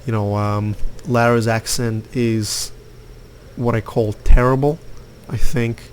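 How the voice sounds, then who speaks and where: A man's voice: medium pitch, steady tone, takes it slowly, slight background noise. A young man from Lithuania giving rambling, opinionated commentary over his gameplay, with lots of fillers. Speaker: gaming youtuber.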